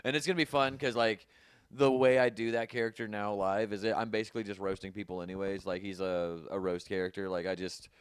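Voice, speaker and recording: A man's voice: lowish voice, flat delivery, clean tone, no room sound. Joking male podcast host, dry sound. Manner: joking, swears freely, quick asides. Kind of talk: casual chat.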